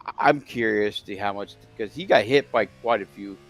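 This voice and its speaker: A man as color commentator on a virtual race, reacting with short exclamations and slow remarks about car damage. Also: fairly low pitch. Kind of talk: sim racing commentary.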